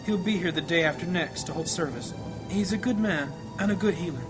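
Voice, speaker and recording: A man acting a gentle, troubled priest and family man in a fantasy audio drama, earnest, measured and devout. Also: high-pitched, lightly acted. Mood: troubled, secretive. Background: music.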